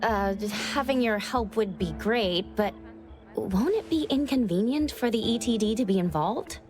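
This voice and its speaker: A woman's voice: fairly high pitch, animated, moderate pace, lightly acted. Woman playing an earnest young investigator over music. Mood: surprised, grateful. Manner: polite, pensive, hesitant. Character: earnest, polite, thoughtful young female investigator. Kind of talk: video game voice acting.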